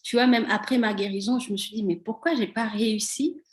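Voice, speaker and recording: A woman's voice: mid-range voice, fairly even intonation, brisk. A woman interviewing in a casual, conversational way, affirming and empathetic, with emphatic repetition. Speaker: host, coach interviewing a former client.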